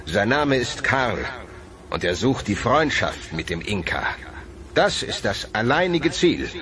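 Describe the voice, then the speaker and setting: A man's voice: mid-range voice, sing-song, a little slow. A man voicing a Spanish conqueror acting as envoy, speaking in a formal, solemn manner in a documentary. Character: male Spanish conqueror addressing a king as envoy. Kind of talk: documentary voice-over reenactment.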